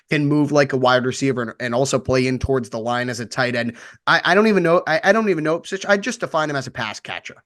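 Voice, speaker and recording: A man giving conversational sports radio analysis as a commentator, halting and repeating words as he thinks. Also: mid-range voice, fairly bright tone.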